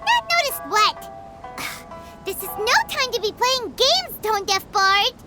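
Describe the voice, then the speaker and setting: A tiny game fairy with an extremely high, squeaky voice. She is blunt and exclamatory, taking her time over words. Music plays behind her. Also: sing-song, takes it slowly, heavily acted. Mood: puzzled, indignant. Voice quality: squeaky, childlike. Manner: exclamatory, speaks of herself in third person. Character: small childlike female fairy companion, excitable and blunt. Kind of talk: video game voice acting.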